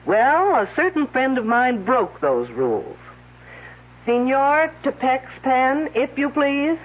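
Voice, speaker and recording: A woman playing a sharp-tongued, commanding police detective in a radio drama, with a husky voice and a wisecracking manner. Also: high-pitched, very animated, slow pace, slight background noise, heavily acted. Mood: accusing. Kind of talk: 1970s radio drama acting. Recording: narrow-band vintage radio broadcast.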